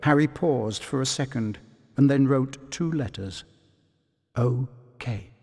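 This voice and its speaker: A man narrating a video game story like a storybook, in a dramatic, deliberate manner. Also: medium pitch, darkish tone, big-room sound.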